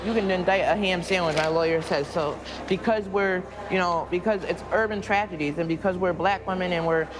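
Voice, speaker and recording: A woman's voice: lowish voice, brisk, hardly any pauses, over music. A woman jail inmate speaking indignantly and emphatically about her case, repeating words for force. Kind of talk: documentary interview.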